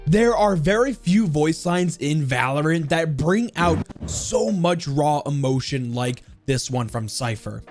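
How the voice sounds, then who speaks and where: A man's voice: a little high, expressive, unhurried, few pauses, darkish tone, soft background music. A man hosting a countdown video on video game lore, talking in an enthusiastic commentary style.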